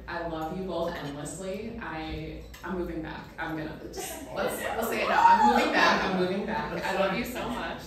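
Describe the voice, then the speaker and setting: Woman in her thirties toasting in an echoey room. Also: sits low. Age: 30s. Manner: chatty, with many ums and likes, laughing between lines. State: emotional, near tears. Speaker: bridesmaid giving a toast. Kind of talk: wedding toast read from a phone. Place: small indoor reception room. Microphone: standing mic, filmed from across the room. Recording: echoey room from a distant camera, noisy crowd.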